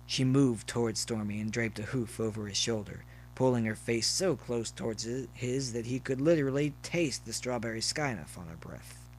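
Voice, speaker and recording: A man's voice: mid-range voice, moderate pace, hardly any pauses, slight background noise, poor audio. A man reading scripted story narration as an audiobook narrator.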